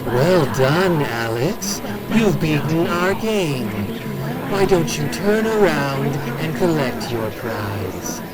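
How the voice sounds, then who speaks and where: An actor voicing a sinister villain who runs a deadly game, speaking slowly and mockingly over music. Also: mid-range voice, very animated, poor audio. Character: sinister game-running villain, calm and taunting.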